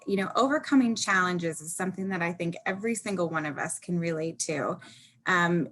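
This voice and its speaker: A woman's voice: medium pitch, fairly bright tone. A woman speaking as host of an online panel discussion. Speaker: host, executive director.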